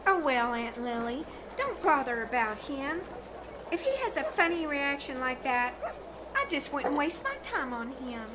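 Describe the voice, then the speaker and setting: A woman's voice: sits high, sing-song, unhurried. A woman playing an eager, bossy teenage niece in an old-time radio comedy, emphatic and coaxing. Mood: consoling. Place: small theater stage, live performance. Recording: very noisy live stage recording.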